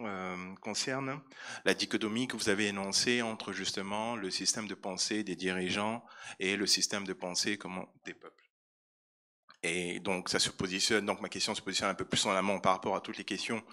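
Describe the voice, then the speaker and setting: A man asking a formal question from the audience at a conference, pausing to find his words. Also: fairly low pitch, bright, forceful tone.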